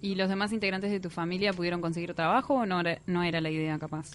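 Fast-talking Uruguayan co-host, woman. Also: fairly low pitch, steady tone, slight background noise. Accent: Uruguayan Spanish. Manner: quick, curious questioning. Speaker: radio co-host. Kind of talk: radio interview.

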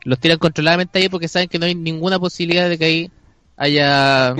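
A man's voice: a little high, fairly even intonation, fast talker, sharp tone, faint music behind. A man with a Chilean accent chatting casually and jokingly as a panelist on a radio show. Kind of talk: casual comedic banter.

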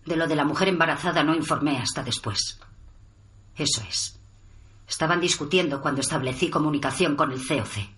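A stern woman in command of a special forces squad. Her voice sits on the low side, and her delivery is firm and clipped, in the military manner of a serious radio drama. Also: fairly quick, a bit bright. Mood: grave, reporting.

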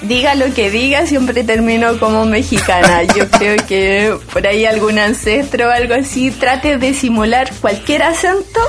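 A woman co-hosting a relaxed radio talk show, teasing her partner and laughing easily. Her voice sits a little higher than most women's. Light music runs faintly behind her. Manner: playful, teasing, quick to laugh. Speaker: radio co-host. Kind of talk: casual radio chat.

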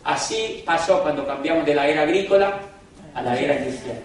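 A man giving a live business seminar, emphatic and preachy, often turning to the crowd directly and slowing down for dramatic emphasis. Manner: emphatic, preachy, keeps addressing the crowd as "gentlemen"; dramatic slowdowns and pitch swings for emphasis. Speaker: business motivational speaker. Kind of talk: live seminar talk to an audience. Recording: echoey hall from far off, faint noise.

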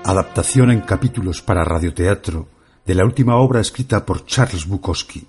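A man's voice: low voice, a little fast, a little mellow, faint music behind. A man reading a scripted radio theatre introduction as an announcer.

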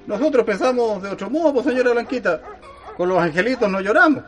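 A man's voice: very high voice, expressive, fast talker, fairly bright tone, faint music behind. A man playing a humble, resigned country father in a radio drama, with a rural Chilean accent and a colloquial, deferential manner. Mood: gently insistent.

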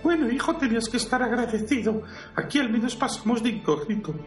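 A roomy, distant recording with music underneath carries a timid priest from a parody audio drama. The voice is thin and high with a Spain Spanish accent. He rambles and stammers apologetically. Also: animated, fast. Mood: placating, nervous. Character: timid, rambling priest, caretaker brother of a fallen conqueror.

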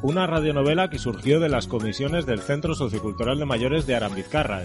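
Mid-pitched man presenting over music. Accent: Spain. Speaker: radio presenter. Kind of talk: scripted programme introduction.

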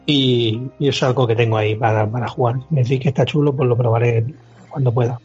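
Very husky, mellow man. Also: mid-range voice, fairly even intonation, a little fast. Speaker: video game podcast panellist. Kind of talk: casual chat. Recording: muffled lo-fi, music behind.

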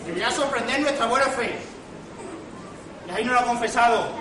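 A man's voice: very high-pitched, a little fast, a crowd in the background. A man in an amateur stage farce playing an indignant, blustering father with a declamatory delivery, recorded in an echoey hall. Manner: declamatory, theatrical. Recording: echoey hall from far off, noisy audience.

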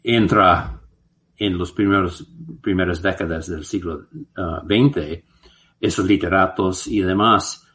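Slow halting man. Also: deep voice, fairly bright tone. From United States. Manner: slow and halting, many restarts and filler sounds. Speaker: guest researcher. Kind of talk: interview answers, anecdotal.

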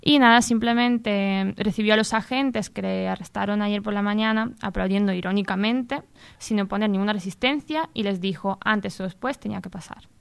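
Clear-voiced woman reading radio news. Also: medium pitch, normal speed. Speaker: new news contributor on a radio show. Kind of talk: reading news amid casual banter.

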